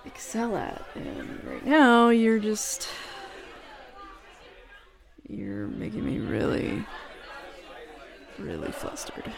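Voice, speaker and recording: A woman playing a flustered, smitten, jealous roommate in an intimate roleplay audio, speaking haltingly and nervously. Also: medium pitch, huge pitch swings, full character voice. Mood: flustered, embarrassed. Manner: halting, nervous, stammering with breathy laughs. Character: flustered, smitten, jealous bad-girl roommate confessing a crush. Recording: bar crowd murmur behind voice.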